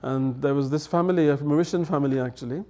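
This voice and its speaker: A man's voice: fairly high pitch, quick pace, mellow. Cheerful man telling anecdotes. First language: English. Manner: chatty, laughing storyteller acting out quoted voices. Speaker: guest elder. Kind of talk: storytelling anecdotes. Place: studio set with dark curtains.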